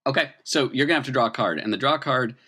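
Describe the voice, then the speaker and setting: A man acting as game master, improvising narration and explaining the rules of a tabletop roleplaying game in an animated way. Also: mid-range voice, fast, slightly rough. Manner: animated explainer, acts out sounds and examples.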